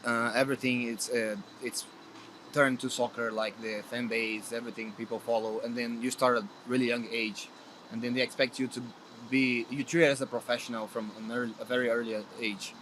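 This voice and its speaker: A man's voice: medium pitch, level tone, fairly quick, fairly bright tone, a little hiss or hum, poor audio. A man from Brazil with a Brazilian accent, a guest player speaking earnestly in a casual interview, often restarting his sentences. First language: Portuguese. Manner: earnest, hesitant with many restarts.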